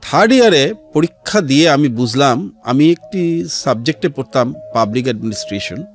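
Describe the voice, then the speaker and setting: A middle-aged man with a Bangladeshi accent telling his life story as an interview guest, in a measured way with pauses and some English words mixed in. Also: medium pitch, animated. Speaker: interview guest, civil servant. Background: background music.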